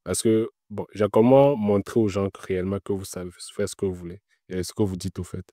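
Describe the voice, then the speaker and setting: A man's voice: sits low, steady tone, fairly quick, dark tone, no room sound, studio quality. A man being interviewed, giving casual career advice in an explanatory way, repeating his points with frequent filler words. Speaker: interview guest working in Web3.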